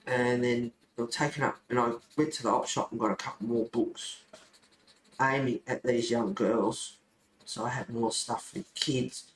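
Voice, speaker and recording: Aussie guy just rambling casually on a hobby stream, normal pitch, echoey room. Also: steady tone, normal speed. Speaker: host of a colouring club stream. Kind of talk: casual rambling chat while colouring. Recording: roomy echo, faint background noise.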